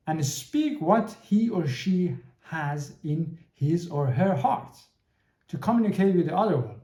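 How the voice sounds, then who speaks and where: Halting man in an echoey room. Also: a little high, warm tone. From Iran. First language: Persian. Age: 30s. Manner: halting, searching for words, frequent restarts. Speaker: podcast host. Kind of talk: solo podcast monologue.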